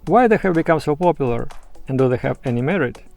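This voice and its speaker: A man presenting a scripted video essay in a measured, explanatory and opinionated way. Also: a little high, expressive, creaky, a little mellow, faint music behind. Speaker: YouTube presenter.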